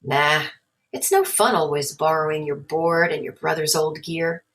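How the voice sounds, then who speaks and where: A woman's voice: deep voice, measured pace, a bit bright. Lady doing a young kid from a storybook, eager, earnest, plain talking, set on his plan. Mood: downcast. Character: young boy, eager and determined, storybook hero.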